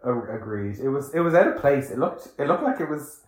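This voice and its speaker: Man, podcast co-host, halting casual chat, echoey room. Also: medium pitch, animated. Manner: halting, repeats words when starting. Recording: roomy echo.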